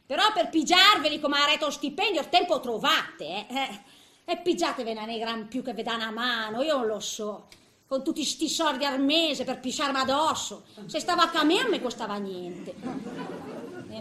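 A woman's voice: high-pitched, pushed and bright. An actress playing a cantankerous eighty-year-old woman who grumbles in colloquial, speech in a comic stage monologue. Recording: roomy echo, faint background noise.

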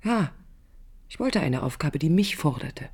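A woman's voice: low-pitched, expressive, unhurried, a little mellow, breathy edge, full character voice. An actress playing a proud, idealistic young woman architect in a radio drama, articulate, combative and ironic. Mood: indignant. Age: young adult. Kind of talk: radio drama acting with interior monologue. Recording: 1980s radio play with background noise.

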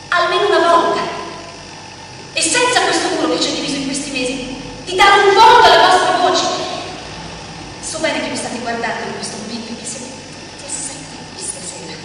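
Woman acting a formal grandiose hostess, oratorical stage monologue, dramatic swells, very echoey hall, clearly noisy recording. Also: high voice, recorded from a distance. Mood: wistful. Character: formal, grandiose woman hostess addressing secret committee of experts. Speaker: stage actress. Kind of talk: theatrical radio drama monologue.

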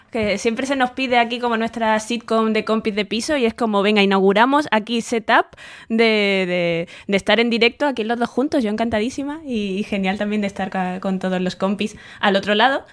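A woman in her thirties, co-hosting a video game podcast, chatting animatedly from a home studio beside her co-host. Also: a little fast. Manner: chatty and animated, acts out family quotes in higher voices. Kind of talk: casual chat.

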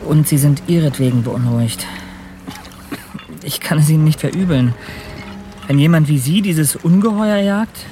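An actor playing an earnest, ambitious polar explorer and ship's captain in a radio drama, speaking and narrating in a heartfelt, literary way. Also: male voice, on the high side, normal speed, long pauses, mellow, slight background noise, close to an everyday voice. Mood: concerned.

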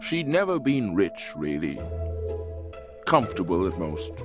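A man narrating a story about a woman in a slow, deliberate, dramatic manner. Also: low voice, very animated, full character voice. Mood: brooding. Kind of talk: dramatic scripted storytelling in English over music. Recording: distant playback with loud music.

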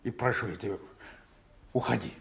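A man's voice: lowish voice, a little fast, vocal fry, fairly bright tone. An actor playing a weary, proud reformed ex-convict man in a Soviet radio drama, speaking tersely. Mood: insistent, proud.

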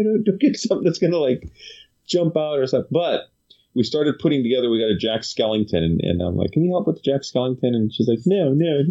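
A man's voice: mid-range voice, dark tone, dull audio. A man hosting a podcast, telling stories in a chatty, rambling way full of fillers. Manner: chatty storytelling, many fillers. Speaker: podcast host. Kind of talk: casual chat.

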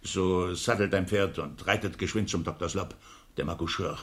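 An actor playing an exasperated, argumentative master of the house in a period radio play, grumbling and declamatory. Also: male voice, low-pitched, steady tone, normal speed, fairly bright tone. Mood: commanding.

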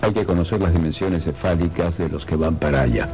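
An actor playing an eccentric, nosy company doctor, courteous and quietly probing, in a radio drama. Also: male voice, sits low, brisk, over music, close to an everyday voice. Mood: courteous, curious.

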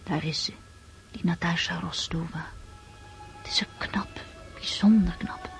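An actress playing a dreamy, vain and impulsive teenage daughter of an aristocratic family in a radio drama. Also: female voice, lowish voice, steady tone, unhurried, vocal fry, in a whisper, soft background music. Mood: hushed, dreamy. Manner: girlish, whimsical, impulsive.

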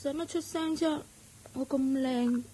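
An actress playing a naive, vain young servant girl in a Cantonese radio drama, speaking softly and dreamily. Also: sits high, fairly even intonation, measured pace, poor audio. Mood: dreamy. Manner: dreamy, childlike, soft-spoken.

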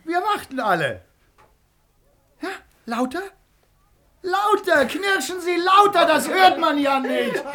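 A man's voice: very high voice, big pitch swings, slow pace, pauses often, pushed and bright, heavily acted. An actor playing a bullying, domineering official in a German radio drama, sneering and commanding as he mocks his victim. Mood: jeering.

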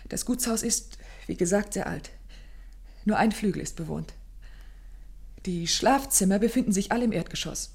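An actress playing an anxious, grieving young gentlewoman who tells her story formally and intensely in a German radio play. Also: medium pitch, normal speed, creaky, pauses often, breathy edge. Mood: grave, composed. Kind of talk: German radio play acting, dramatic first-person narration.

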